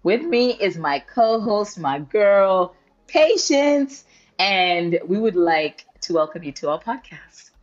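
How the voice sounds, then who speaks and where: A woman from the Democratic Republic of the Congo co-hosting a podcast, introducing her guest in a playful, expressive way with slangy asides. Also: medium pitch, slow pace. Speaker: podcast co-host. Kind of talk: casual podcast interview. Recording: dull lo-fi sound.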